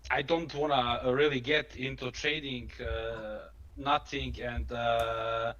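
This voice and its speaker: Croatian-accented man speaking halting English. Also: mid-range voice, fairly even intonation, fairly bright tone, lo-fi sound. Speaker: guest on a trading live stream. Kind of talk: informal personal storytelling.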